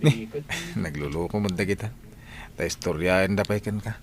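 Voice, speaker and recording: Radio drama: long-suffering Ilocano-accented husband and dad, lowish pitch, slight background noise. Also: male voice, moderate pace. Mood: concerned, friendly. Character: patient, hardworking husband and father, a driver. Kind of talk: Filipino radio drama acting.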